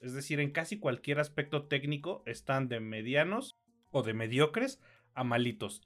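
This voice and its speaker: A man with a Mexican accent co-hosting a podcast, reviewing films in a casual, slangy and opinionated way. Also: mid-range voice. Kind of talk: casual conversational film review.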